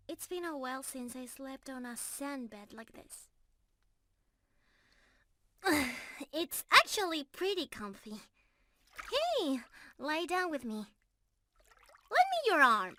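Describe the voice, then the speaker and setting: A woman's voice: high-pitched, pushed and bright, a little hiss or hum, exaggerated voice. A woman playing a sweet, bashful young mermaid in an intimate ASMR roleplay, affectionate and girlish, easily flustered and teasing.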